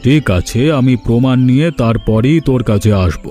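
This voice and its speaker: A dark-toned, mellow village patron acted by a man in a cartoon fairy tale. He speaks firmly and with authority, with faint music behind him. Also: mid-range voice, unhurried, close to an everyday voice. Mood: stern, accusing. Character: authoritative village gentleman, generous patron and stern judge.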